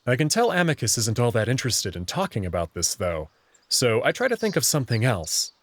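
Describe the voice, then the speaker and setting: A man's voice: mid-range voice, sing-song, fairly quick. A man reading first-person visual novel narration and dialogue as the story's protagonist.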